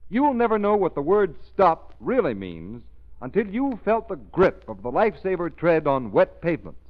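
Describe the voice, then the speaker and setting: A man announcing a radio program and reading a sponsor's pitch in a 1930s broadcast, emphatic and persuasive. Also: very animated, moderate pace. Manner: emphatic, persuasive salesman's delivery. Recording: dull old radio broadcast.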